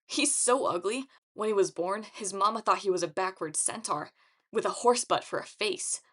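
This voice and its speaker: A woman's voice: mid-range voice, normal speed, fairly bright tone, natural voice. Casting: female narrator for a brash teenage show-off, swaggering, wisecracking audiobook hero. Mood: brash boasting. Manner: boastful, swaggering. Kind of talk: audiobook character acting.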